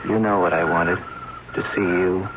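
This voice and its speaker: An actor playing a lovesick young singer. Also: male voice, sits low, steady tone, moderate pace, close to an everyday voice. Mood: pleading. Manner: earnest, pleading. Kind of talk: 1940s radio acting. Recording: dull old radio recording with loud hiss.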